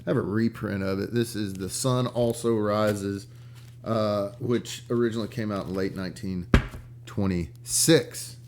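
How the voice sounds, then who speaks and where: A man's voice: on the low side, fairly even intonation, a little slow, background noise. A man casually rambling through stories like a vlog host, irreverent and slangy with some swearing, often stopping to repeat his words. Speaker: vlog host and book collector. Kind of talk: casual rambling storytelling about rare books.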